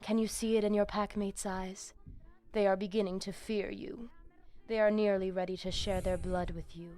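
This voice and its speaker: A woman's voice: mid-range voice, little pitch movement, warm tone, lightly acted. Woman playing a solemn, menacing vampire pack leader. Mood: solemn approval. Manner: formal, measured, ominous phrasing. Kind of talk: video game voice acting.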